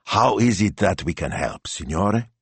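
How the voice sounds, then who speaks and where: A man voicing a practical woman, a hotel owner's wife, who speaks briskly and encouragingly. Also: low voice, animated, slightly rough, no room sound, heavily acted.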